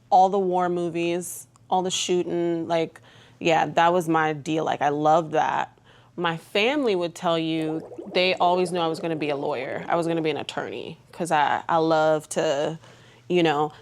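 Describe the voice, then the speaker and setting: A woman from Trinidad and Tobago speaking candidly and conversationally about herself in a reflective documentary interview. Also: sits low. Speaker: aesthetician and entrepreneur. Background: background music.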